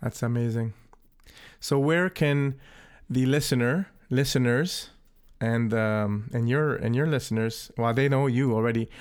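Halting man with an Italian accent. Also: mid-range voice, clean tone. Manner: halting, many fillers and restarts. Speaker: podcast host. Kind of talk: casual podcast chat.

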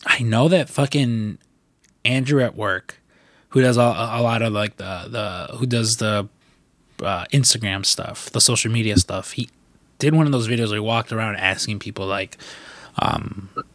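A man's voice: lowish voice, moderate pace, fairly bright tone, dry recording. A man hosting a casual podcast, talking loosely with lots of swearing and fillers, still a bit congested with the sniffles. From US Midwest. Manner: loose and profane, rambling with many fillers. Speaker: podcast host. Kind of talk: casual chat.

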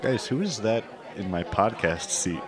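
A man's voice: on the low side, big pitch swings, unhurried. A man hosting a podcast live, bantering casually in a noisy room with an audience. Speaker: podcast host. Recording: off-mic, with music and crowd noise.

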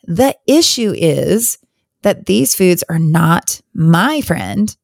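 A hoarse woman hosting a podcast, talking in a candid, chatty, rambling way on her own. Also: lowish voice, big pitch swings, slow, no room sound, clean studio sound. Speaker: podcast host. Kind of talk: casual solo podcast chat.

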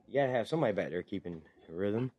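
A man's voice: fairly low pitch, breathy edge, no room sound, muffled recording. A man hosting a podcast in a casual chat, rambling and laid-back, swearing freely and laughing often. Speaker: podcast host.

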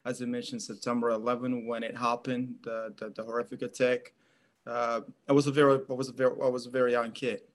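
Earnest man, halting speech. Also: medium pitch, little pitch movement. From Afghanistan. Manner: earnest, many "uh" fillers and repeats. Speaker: interview guest, author. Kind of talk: interview answers.

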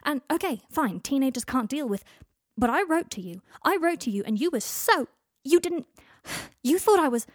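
A stubborn young man, blunt and desperate, pushing his words out loud and strained, as a woman reader puts him on. His voice sits slightly above the reader's own, heard close in a dry recording. He pleads and accuses at once. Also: on the high side, very animated, fast talker, slightly rough, dry recording, pitched above the performer's own voice, heavily acted. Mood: upset.

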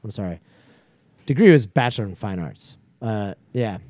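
A man's voice: on the low side, expressive, moderate pace, darkish tone, no echo. A man in his forties chatting casually as a guest, laid-back and slangy, with many restarts. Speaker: guest skydiver. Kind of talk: casual chat.

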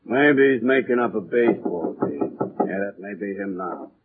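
Street-tough grave robber: deepish voice, dry slang, cool nerve, tinny vintage radio recording. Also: male voice, normal speed, husky. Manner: deadpan gangster slang. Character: cool, wisecracking male body snatcher, street-tough crook. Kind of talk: 1940s radio acting. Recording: tinny, hissy old 1940s radio recording.

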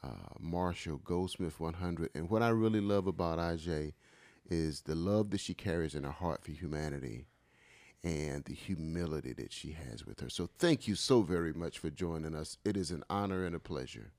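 A man's voice: deep voice, moderate pace, darkish tone, dry recording. A man co-hosting a talk show, introducing a guest with effusive praise. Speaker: co-host.

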